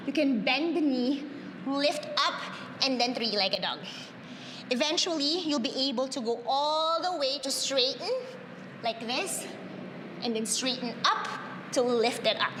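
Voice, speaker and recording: Woman yoga teacher, Tagalog first language, high voice, upbeat cues, echoey roomy reverb, noisy background. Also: pushed and bright. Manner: upbeat, cueing breaths, laughs and cheers often. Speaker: yoga instructor. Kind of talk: guided yoga class instruction.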